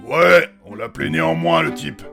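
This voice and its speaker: An actor playing a crude, brash fantasy adventurer in a comic audio drama, with a gravelly voice and blunt, mocking, slangy talk. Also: mid-range voice, normal speed, a bit bright. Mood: teasing. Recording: music behind the voice.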